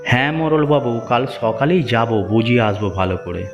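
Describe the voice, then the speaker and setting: A man acts a boss's lackey in a cartoon fable, quick to flatter and to sneer. The voice sits at an ordinary male pitch. It rings in long reverb, slightly dull, over faint music. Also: close to an everyday voice. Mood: fawning agreement. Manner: sneering, scolding, obsequious to his boss. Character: mocking, flattering male henchman of village headman. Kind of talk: cartoon moral-story voice acting. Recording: muffled, echoing hall-like reverb, music behind.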